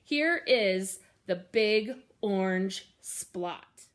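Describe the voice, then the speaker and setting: A woman's voice: mid-range voice, lively intonation, extremely slow. A woman introducing a children's read-aloud as one of the hosts. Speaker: children's museum board member and co-founder, introducing a read-aloud. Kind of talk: introducing a story reading.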